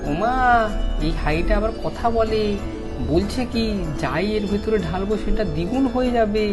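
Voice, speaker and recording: Cartoon village lad, female actor: naive, excitable, mid-pitched, music underneath. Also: normal speed, natural voice. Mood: excited. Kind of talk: cartoon character voice. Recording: music behind the voice.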